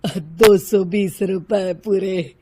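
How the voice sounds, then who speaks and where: Woman doing a slow, meek male vegetable seller. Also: mid-range voice, fairly even intonation, darkish tone, breathy edge, pitched below the performer's own voice. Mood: firm. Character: poor humble male street vegetable seller.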